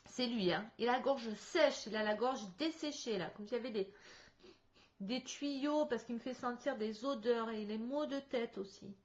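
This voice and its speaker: A fairly high-voiced woman speaks over a video call whose sound is a little dull and muffled. She leads a spirit contact session as the medium, describing what she senses in stop-start phrases. She breaks into quick laughs along the way. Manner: lively, laughs often, halting restarts.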